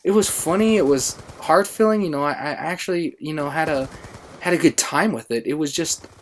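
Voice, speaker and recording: A man's voice: fairly high pitch, a little fast, a little hiss or hum. An American man casually telling a story over gameplay, rambling and often interrupting himself. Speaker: gaming channel storyteller.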